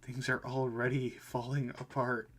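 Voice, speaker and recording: A man voicing a gentle, worried male mentor in a visual novel, soft-spoken, earnest and apologetic. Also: medium pitch, flat delivery, measured pace. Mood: hesitant, earnest. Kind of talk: visual novel dialogue read aloud.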